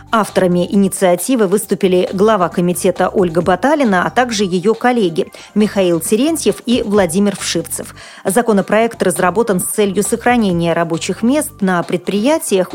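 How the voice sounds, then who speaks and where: A woman reads the news as a studio presenter, with mid-range pitch and formal, measured diction. Faint music plays along with her scripted delivery. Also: a little mellow.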